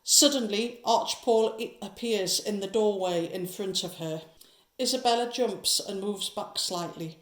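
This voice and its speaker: A woman reads scripted narration for a horror audio drama. Her pitch is average for a woman, and the room adds clear echo around her words. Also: a little slow.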